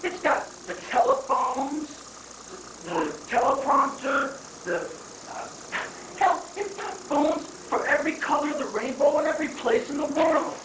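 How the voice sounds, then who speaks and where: A performer in a live play reading playing a blustering, cynical political aide who speaks emphatically and deliberately. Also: high-pitched, animated. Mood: boastful. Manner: deliberate, emphatic, halting lists. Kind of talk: dramatic play reading before audience. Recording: echoey, distant, noisy 1980s live recording.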